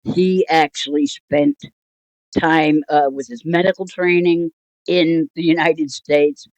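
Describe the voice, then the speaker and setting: An older woman telling a personal story casually, in a rambling, halting, wryly amused way. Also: sits low, fairly even intonation, a little mellow, dry recording, poor audio. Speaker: storytelling vlogger.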